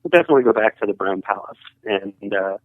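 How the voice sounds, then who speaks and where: A man tells his story down a telephone line. He talks in a halting, rambling way and hedges often. His voice sits a little low and sounds dry and tinny. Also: animated, no room sound. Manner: halting, rambling, full of hedging fillers. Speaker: caller sharing a ghost story. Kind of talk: casual storytelling.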